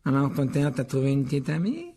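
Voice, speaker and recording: A man voicing a snide schoolboy bully in an audiobook, taunting and sarcastic, with a husky voice. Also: mid-range voice, fairly even intonation, brisk, darkish tone, slight background noise, close to an everyday voice. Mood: mocking. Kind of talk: audiobook character voice.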